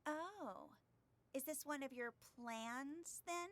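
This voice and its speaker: A woman's voice: high-pitched, slow pace, fairly bright tone, heavily acted. A woman playing a scheming, ambitious and gossipy young actress in a radio drama, wheedling and conspiratorial. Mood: prying, teasing. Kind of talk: interactive radio drama acting.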